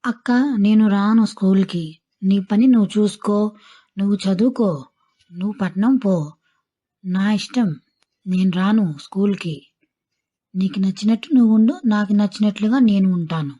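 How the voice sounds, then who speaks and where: Woman as obstinate kid sister in a moral tale, whiny and pleading, medium pitch, soft mellow tone. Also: normal speed, long pauses, muffled recording, natural voice. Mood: defiant. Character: stubborn, lazy young schoolgirl, pleading little sister.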